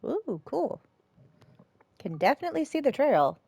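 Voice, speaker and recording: A woman's voice: high voice, very animated, unhurried, creaky, darkish tone, slight background noise. A woman streaming a game, giving short, casual murmured reactions and hums as she plays. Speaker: game streamer playing through a story quest. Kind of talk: casual gameplay commentary.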